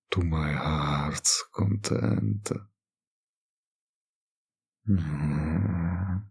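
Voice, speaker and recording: A man playing a teasing, possessive male vampire in an intimate roleplay addressed to the listener, with a husky, gravelly voice and a lingering delivery. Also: low voice, darkish tone, heavily acted. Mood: seductive, pleased.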